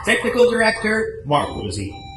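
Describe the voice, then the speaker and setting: A man works as a radio theater announcer, reading a script in old-time style. His pitch is high and swings very widely, and the recording is echoey and noisy. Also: very animated, normal speed, loud music behind the voice. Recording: echoey stage recording, clear noise.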